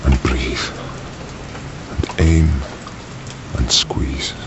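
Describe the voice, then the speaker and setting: A man's voice: extremely low pitch, fairly even intonation, extremely slow, muffled recording, noisy background, heavily acted. A man playing a cold, menacing kidnapper in a radio drama, terse, commanding and controlled. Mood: tense focus. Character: menacing, cold male kidnapper and hired gunman.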